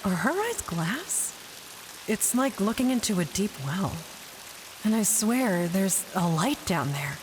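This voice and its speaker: A woman's voice: mid-range voice, lively intonation, slow, noisy background. A woman playing an earnest, curious and bold adventurer in an audio drama. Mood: fascinated. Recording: long hall reverb under music.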